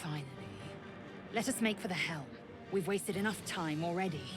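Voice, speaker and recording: A woman's voice: lowish voice, normal speed, natural voice. A woman playing an imperious, impatient alien warrior in a video game, curt and commanding. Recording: loud game music behind.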